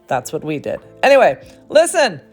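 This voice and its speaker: A woman's voice: fairly low pitch, wildly swooping pitch, normal speed. A woman speaking as a vlog co-host, addressing viewers directly in a chatty, upbeat, casual way. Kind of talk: casual scripted-sounding video outro. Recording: music playing behind the voice.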